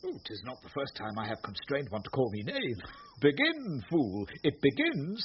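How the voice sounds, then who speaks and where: An actor playing a foolish, tipsy gentleman knight in a radio Shakespeare, eager and vain, with an affected, dithering manner. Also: mid-range voice, wildly swooping pitch, moderate pace. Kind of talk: classic radio Shakespeare acting. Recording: muffled, noisy radio drama recording.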